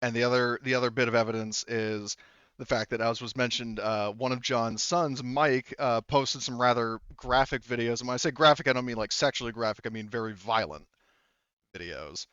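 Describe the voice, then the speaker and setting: A man acting as game master, explaining a tabletop roleplaying game in a halting way, often repeating words. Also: medium pitch, a bit bright, smooth, dry recording, highs cut off.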